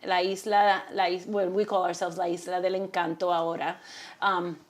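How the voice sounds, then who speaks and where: A Puerto Rican woman, a Spanish teacher in her fifties or sixties, talking in an animated, teacherly way as a podcast guest. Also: on the low side, moderate pace. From Puerto Rico. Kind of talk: casual podcast interview.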